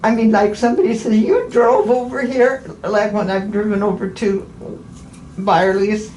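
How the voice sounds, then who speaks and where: A woman's voice: medium pitch, normal speed, darkish tone. A woman in her eighties chatting casually as a patient, rambling and often restarting her phrases. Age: 80s. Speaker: podiatry patient. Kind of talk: casual chat during an appointment. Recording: echoey room with faint background noise.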